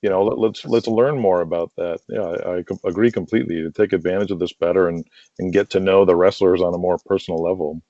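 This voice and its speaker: A man's voice: deep voice, fairly even intonation, fast, dark tone. A man chatting casually as a podcast guest, agreeable and eager to promote his show. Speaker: podcast guest, audio drama creator. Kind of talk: casual interview chat. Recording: dull lo-fi roomy call audio.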